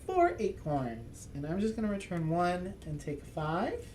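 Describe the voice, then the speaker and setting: Man musing slowly through a board game. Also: high-pitched, huge pitch swings, slight background noise. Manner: thinks aloud, chuckling, self-mocking asides; speaks as a loud, projecting voice. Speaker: board game channel host. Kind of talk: casual solo playthrough commentary.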